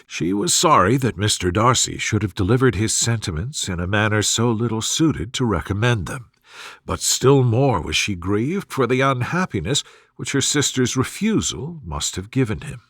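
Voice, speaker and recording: A man narrating a classic sleep story in a soothing, measured and formal literary reading style. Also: on the low side, sing-song, a bit bright, slightly rough, dry recording, clean studio sound.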